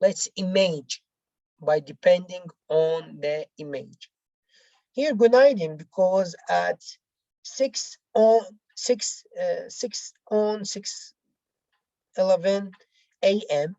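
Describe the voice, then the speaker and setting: A woman language teacher who is not a native English speaker, explaining exercises step by step in a halting, repetitive way. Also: on the low side, mellow, no room sound, muffled recording. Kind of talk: bilingual lesson, reading out exercises and explaining answers.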